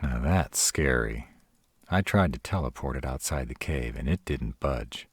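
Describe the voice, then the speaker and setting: Casting: male actor as gruff tsundere were-dragon boyfriend, animated swings in pitch, close dry sound, tender and guarded, romantic audio drama. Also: no echo, full character voice. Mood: uneasy, cautious. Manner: tsundere, teasing yet caring, hesitant pauses. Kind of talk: romantic fantasy audio roleplay, speaking to the listener.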